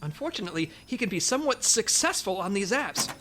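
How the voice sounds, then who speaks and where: A female spy chief in an audio comedy. She is authoritative, patient and diplomatic, briefing her team with a lively rise and fall at a middle pitch. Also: quick pace, slight background noise. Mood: businesslike. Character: businesslike, patient female intelligence section chief. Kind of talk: comedy audio drama acting, mission briefing.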